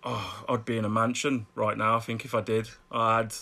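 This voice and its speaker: A middle-aged man with a Birmingham accent, chatting casually as a podcast guest, laughing often and repeating words when excited. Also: lowish voice, normal speed, gravelly. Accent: Birmingham English. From Birmingham, England. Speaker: guest artist.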